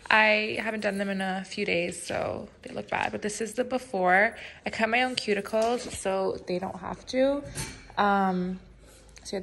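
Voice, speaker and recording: A woman's voice: medium pitch, vocal fry, a bit bright, a little hiss or hum. A young woman in her twenties chatting casually to her viewers at home, upbeat and filler-heavy, filmed on a phone in her kitchen. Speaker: vlogger. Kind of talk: casual vlog chat.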